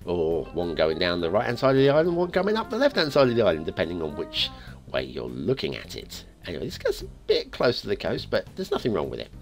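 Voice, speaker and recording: A man with a wheezy, asthmatic breath, rambling through casual commentary on a game map. His voice rises and falls with a lively, animated pitch, and he keeps correcting himself mid-thought. Faint music plays softly behind him. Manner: rambling, chatty, self-correcting, joking asides. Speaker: host of a game map review show.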